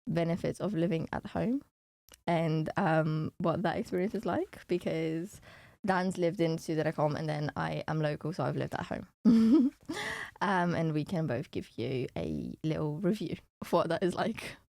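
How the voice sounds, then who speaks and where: Young woman w/ romanian accent doing a casual student podcast, lowish voice, pretty dry sound. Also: moderate pace, vocal fry, warm tone, dry recording. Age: young adult. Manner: giggly, laughs often.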